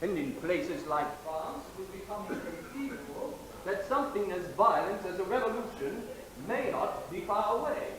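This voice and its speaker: A man's voice: high-pitched, lively intonation, moderate pace, crowd noise. A man narrating a theatrical prologue on stage in a formal, lecturing way, with hesitant fillers between sentences. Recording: roomy live stage recording.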